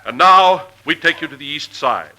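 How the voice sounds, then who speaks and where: A man's voice: on the high side, expressive, normal speed, sharp tone, poor audio. A man playing a grandiose melodrama narrator in a 1940s radio parody, solemn and declamatory. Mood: grand, portentous. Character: grandiose melodramatic narrator of a big-city drama. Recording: old 1940s radio broadcast.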